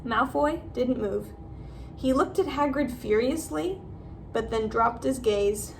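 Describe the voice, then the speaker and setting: A woman teacher giving an expressive read-aloud of a storybook. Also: fairly high pitch, slow pace, gravelly, a little hiss or hum, low-quality recording.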